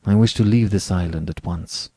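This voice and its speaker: A man's voice: fairly low pitch, lively intonation, normal speed, dark tone, husky, dry recording. A man voicing a curt, defiant man, dry sound. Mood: firm, defiant. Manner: curt, resolute. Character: firm, defiant male guest refusing to hunt. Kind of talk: dramatic storybook reading.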